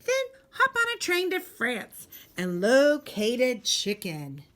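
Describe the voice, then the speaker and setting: A woman reading a children's storybook aloud expressively, with deliberate, dramatic emphasis and pauses. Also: fairly high pitch, wildly swooping pitch, fairly bright tone. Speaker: teacher reading a picture book aloud.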